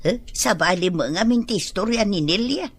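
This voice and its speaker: A woman's voice: medium pitch, animated, moderate pace, slightly rough, no echo. A woman with an Ilocano accent playing a friendly, coaxing neighbor in a radio drama.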